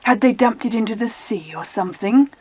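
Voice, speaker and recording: A woman voicing well-spoken, eager British children in a dramatized adventure audiobook, with expressive storytelling. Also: fairly high pitch, brisk, slightly rough, no echo, rough recording. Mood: excited.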